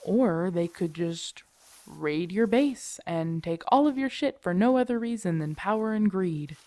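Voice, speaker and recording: A woman's voice: fairly low pitch, expressive, unhurried, mellow, no room sound. A woman acting in an audio roleplay as a grumpy, protective zombie apocalypse survivor, blunt and sardonic, talking intimately to her companion. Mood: emphatic, cynical.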